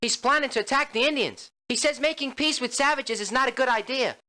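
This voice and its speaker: Brief: officious drill instructor, high bright barking delivery. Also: female voice, a little fast. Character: stiff, gossipy army drill instructor.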